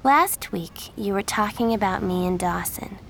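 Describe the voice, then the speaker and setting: A woman voicing a frank, earnest teenage girl, a little higher than her narration, in an audiobook reading. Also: a little slow, vocal fry, a bit bright, a little hiss or hum.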